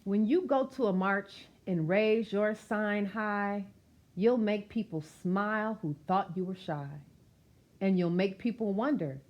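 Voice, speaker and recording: A woman reading a rhyming picture book aloud as a storyteller, expressive and emphatic, with questions and asides to her listeners. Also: mid-range voice, unhurried, highs cut off.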